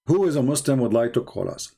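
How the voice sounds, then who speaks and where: A man's voice: mid-range voice, expressive, fast talker, creaky. A man with an Arabic accent hosting a live debate stream, taunting and sarcastic, laughing at his own jokes. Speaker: livestream debate host. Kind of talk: mocking livestream debate.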